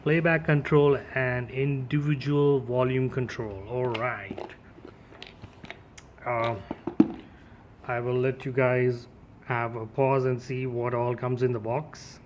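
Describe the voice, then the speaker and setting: A man's voice: mid-range voice, steady tone, takes it slowly, pauses often, noisy background. A man giving a casual, informal unboxing and demo as a gear reviewer, addressing his viewers directly.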